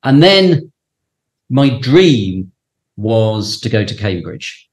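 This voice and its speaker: A man's voice: lowish voice, big pitch swings, very slow. A middle-aged man with a southern English accent, answering conversationally as a professor being interviewed. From southern England. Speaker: interview guest, university professor.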